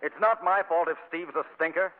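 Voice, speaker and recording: An actor plays an insistent, suspicious man in an old comedy film. His voice is high and quick, with a blunt sarcastic edge. The sound is thin and tinny. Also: slight background noise, lightly acted. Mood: indignant, sarcastic. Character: indignant, suspicious rival suitor, adult man.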